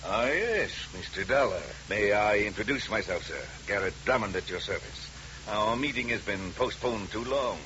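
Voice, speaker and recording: An actor playing a grandiloquent, scheming gentleman villain in a 1950 radio detective drama, speaking in florid, formal phrases. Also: on the low side, animated, normal speed, lightly acted. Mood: mock courtesy. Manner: pompous, florid, formal, often saying "sir". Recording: dull, noisy old radio recording with hum.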